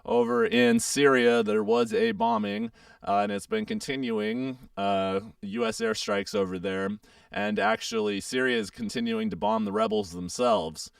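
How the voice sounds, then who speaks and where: A young man hosts a casual radio show with a heavily gravelly, hoarse voice. He talks in a lively, up-and-down way, rambling through fillers. His voice is smooth under the rasp, recorded dry and slightly off-mic. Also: sits high, measured pace, no room sound, distant mic. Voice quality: froggy, gravelly. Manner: chatty, rambling with many fillers. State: hoarse, getting over a sore throat.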